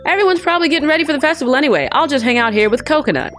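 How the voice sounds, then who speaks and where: A woman's voice: sits high, animated, very fast, a bit bright, soft background music, pitched above the performer's own voice. Woman doing a cute bubbly mermaid girl from a game, chirpy, swoops all over. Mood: chatty, cheerful. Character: cute, bubbly, dreamy young female mermaid dancer.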